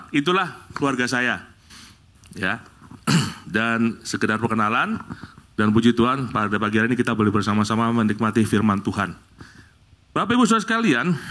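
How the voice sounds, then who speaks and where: A middle-aged man from Bali leads a church prayer, then preaches a sermon to the congregation. His talk is lively, rising to loud exclamations and jokes with the congregation. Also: medium pitch. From Bali, Indonesia. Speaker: guest preacher. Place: church service.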